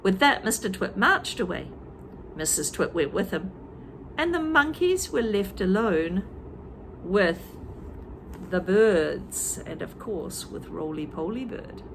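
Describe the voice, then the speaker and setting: A teacher reading a children's book aloud, with chatty asides to young listeners. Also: female voice, mid-range voice, expressive, takes it slowly, noisy background.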